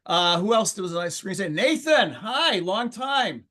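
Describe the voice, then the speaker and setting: A man's voice: sits high, big pitch swings, unhurried, bright, forceful tone. A man hosting an online meeting, greeting people in a friendly, chatty way over a video call. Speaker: meeting host. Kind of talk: casual online meeting chat.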